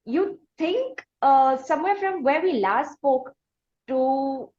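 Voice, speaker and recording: A woman's voice: sits high, animated, takes it slowly. A woman with an Indian accent hosting a conversational interview, asking long, hesitant, circling questions. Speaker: podcast host and interviewer. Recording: dull lo-fi audio.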